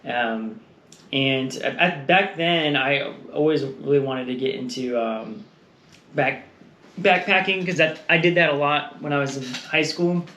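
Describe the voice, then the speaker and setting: A man's voice: a little high, measured pace, sharp tone. A man from Texas, a guest in a casual interview, telling stories in a rambling way with many fillers and frequent laughs. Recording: echoey room with faint noise.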